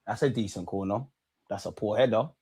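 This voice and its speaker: A man's voice: fairly low pitch, brisk, slightly rough. Young London man, slangy football streamer. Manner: slangy, informal, excitable. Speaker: football watch-along livestream host. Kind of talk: casual live reaction commentary and chat with viewers.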